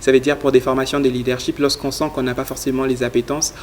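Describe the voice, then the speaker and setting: Earnest young man, flat even delivery. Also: medium pitch, quick pace, slight background noise. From Congo. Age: young adult. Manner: earnest, speaks in a flat even tone with frequent fillers. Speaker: guest, youth leader. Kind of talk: studio interview answers.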